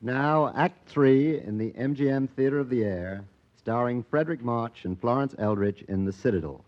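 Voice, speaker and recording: A man narrating and announcing a scripted radio drama in a formal, measured, 1940s storytelling style. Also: mid-range voice, slight background noise. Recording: dull old radio recording with music. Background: music.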